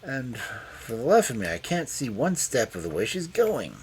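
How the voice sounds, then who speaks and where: A man's voice: mid-range voice, wildly swooping pitch, moderate pace, darkish tone, a little hiss or hum, pitched above the performer's own voice. A man doing a bothered, puzzled police detective. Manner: uneasy, grumbling.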